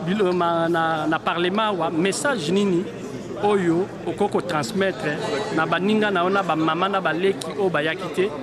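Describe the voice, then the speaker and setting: High voiced guy going round asking ppl questions, crowd noise behind. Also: fairly even intonation. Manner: brisk, mixes languages. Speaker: event interviewer. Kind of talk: roving interview questions. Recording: outdoor event with crowd noise. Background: crowd chatter.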